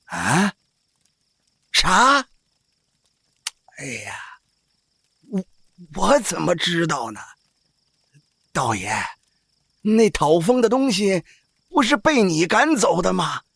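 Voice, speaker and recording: Raspy, drawling old troupe boss. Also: male voice, high voice, very animated, slow, long pauses, fairly bright tone, no echo, heavily acted. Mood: folksy, puzzled. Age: older. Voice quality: raspy, creaky. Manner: drawling, sighing, folksy exclamations. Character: old village opera-troupe boss, folksy, worldly, cautious. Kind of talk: audio drama acting.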